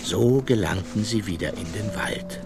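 A man's voice: on the low side, unhurried, creaky, music in the background. A man narrating a children's radio play as a scripted story.

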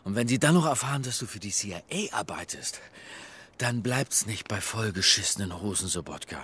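An actor playing a menacing East German secret police handler in a crime radio play, taunting and condescending. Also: male voice, on the low side, expressive, fairly quick, a bit bright, husky, heavily acted. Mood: threatening.

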